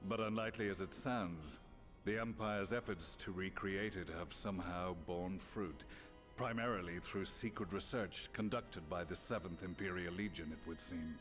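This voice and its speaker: An actor playing a grave, remorseful middle-aged former imperial commander in a game, speaking in solemn, formal, measured phrases. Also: male voice, deep voice, over music, close to an everyday voice. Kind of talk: video game cutscene voice acting.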